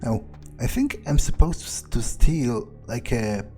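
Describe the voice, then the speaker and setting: A man playing a wary, crude, sardonic vampire in an improvised video game roleplay, muttering his thoughts with hesitant pauses. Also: medium pitch, animated, warm tone, faint music behind, close to an everyday voice. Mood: hesitant, pondering. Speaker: gamer playing in character.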